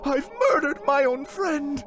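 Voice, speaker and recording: High-pitched boy deckhand, desperate, pleading, swooping intonation, old seafaring storybook drama. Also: very animated, a little slow, noisy background, heavily acted. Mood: horrified. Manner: pleading, archaic nautical speech.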